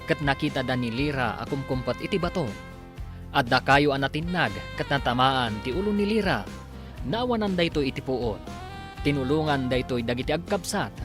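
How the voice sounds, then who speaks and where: Guy announcing a radio soap, dramatic n animated delivery, guitar music under him. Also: medium pitch. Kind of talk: dramatic scripted radio serial narration. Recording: narration over loud guitar music.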